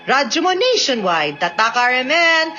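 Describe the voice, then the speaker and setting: A woman's voice: sits high, big pitch swings, unhurried, pushed and bright, faint music behind. A woman with a Cebuano Filipino accent giving legal advice on the radio in an explanatory, conversational way with frequent tag questions. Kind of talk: spoken legal advice to listeners, mixing in English. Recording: slightly dull radio recording.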